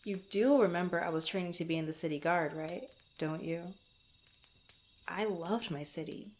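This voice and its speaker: A woman playing a guarded, bantering young swordswoman in an intimate fantasy ASMR roleplay, speaking haltingly to the listener. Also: lowish voice, a little mellow, natural voice. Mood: fond, reminiscing. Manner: flustered, bantering, halting, often sighing or chuckling. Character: guarded, teasing young adventurer swordswoman, former street thief.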